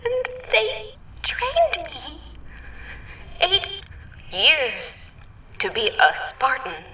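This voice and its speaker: An actress in a radio drama playing a traumatized machine intelligence who was once a child soldier, speaking haltingly and slipping into whispers. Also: female voice, high-pitched, huge pitch swings, pushed and bright, heavily acted, low-quality recording. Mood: anguished. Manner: halting, repetitive, drifting into whispers.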